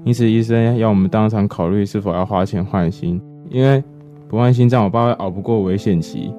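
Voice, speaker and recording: Man acting a dark-voiced, burdened young working man. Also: fairly low pitch, brisk, lightly acted. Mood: grave, resigned. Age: 20s. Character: weary young working man shouldering his family's burdens. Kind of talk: radio drama dialogue. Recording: slightly muffled, guitar music behind.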